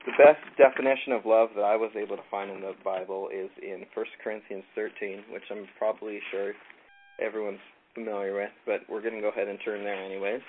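A man from California leading a casual Bible study, relaxed and halting with frequent ums. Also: on the low side. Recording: tinny phone-like line with faint noise.

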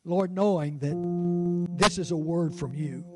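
A man's voice: a little high, slow, over loud music. A man in his sixties preaching a sermon as pastor, conversational and fervent, with rising exclamations. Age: 60s. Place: church sanctuary.

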